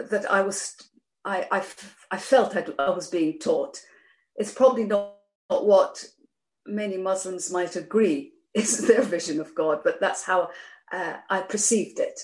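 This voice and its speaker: A woman's voice: mid-range voice, measured pace. A middle-aged woman from Iran, a guest in an interview, talking hesitantly with many fillers and restarts. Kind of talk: conversational interview. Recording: roomy echo.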